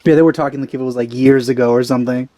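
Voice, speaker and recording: A man giving casual gameplay commentary, hesitant and rambling as he thinks out loud. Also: mid-range voice, steady tone, rapid-fire, no echo. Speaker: let's player. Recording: game music behind voice. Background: video game music.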